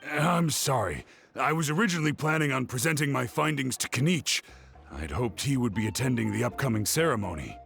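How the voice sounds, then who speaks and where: A man playing an earnest, excitable young researcher in a video game, explaining things insistently. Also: mid-range voice, a little fast, creaky, soft background music. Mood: apologetic.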